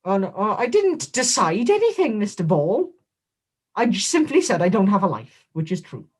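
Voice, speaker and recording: A man giving a comedic, in-character interview as a guest wrestler, deadpan, boastful and absurd. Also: sits high, normal speed, husky.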